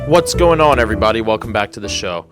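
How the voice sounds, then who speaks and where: A young man in his twenties hosting a solo podcast, rambling and incredulous, with a slightly congested throat. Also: fairly low pitch. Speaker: podcast host. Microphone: desk microphone.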